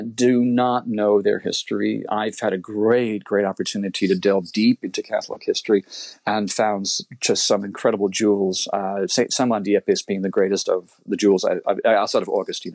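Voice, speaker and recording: Low-voiced man telling his story. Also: steady tone, moderate pace, gravelly, darkish tone, no echo. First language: Italian. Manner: rambling, repeats words and restarts often. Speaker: composer and guitarist guest. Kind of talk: conversational interview storytelling.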